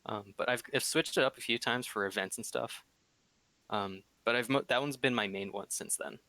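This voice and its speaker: A man's voice: medium pitch, moderate pace, pushed and bright, no room sound, rough recording. A young man talking as a podcast guest, chatting casually with hesitant, rambling phrasing. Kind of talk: casual chat.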